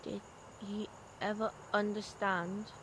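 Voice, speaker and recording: A woman's voice: mid-range voice, flat delivery, slow pace, noisy background, natural voice. A woman playing a curious, earnest young boy in an audio drama, asking questions in a hesitant way.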